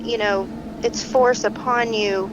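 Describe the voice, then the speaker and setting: A woman's voice: fairly high pitch, fairly even intonation, takes it slowly, muffled recording, noisy background. A woman playing a caring, tactful doctor in a naturalistic fiction podcast, advising in a tentative, conversational way. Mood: gently probing.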